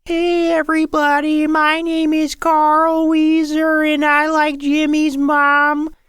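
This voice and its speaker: A very high, nasal cartoon boy, nerdy and awkward. He talks slowly and earnestly, in a pinched voice. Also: monotone, a bit bright, no room sound, clean studio sound, heavily acted. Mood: dreamy, earnest.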